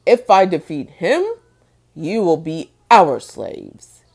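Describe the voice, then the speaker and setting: A woman voicing a boastful giant enemy soldier in a children's storybook, booming out challenges. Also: lively intonation, slow, a little mellow, slightly rough, full character voice.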